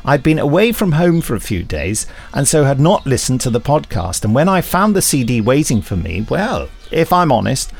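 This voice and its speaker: A man co-hosting a podcast, reading listener emails with dry, wry humor. His voice is gravelly, with a rough edge at an ordinary middle pitch. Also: very animated, normal speed, few pauses. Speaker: podcast co-host.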